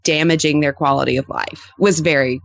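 A woman's voice: deep voice, fairly quick, creaky, no echo. A woman with an American accent that slips into East Texas Southern, chatting casually and acting out her anecdotes as a podcast guest. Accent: American, East Texas-style Southern that slips in. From Louisiana, US. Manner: animated storyteller who acts out quoted dialogue. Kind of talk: casual chat, telling anecdotes.

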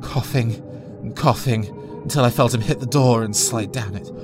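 A man's voice: mid-range voice, normal speed, over music. A man narrating a scripted first-person horror story in a dramatic, tense storytelling manner.

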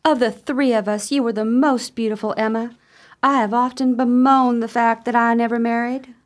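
A woman acting an unmarried, gossipy sister in an old-fashioned gothic radio drama, with a deliberate, formal and dramatic delivery. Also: a little high, fairly even intonation, close to an everyday voice. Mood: pointed.

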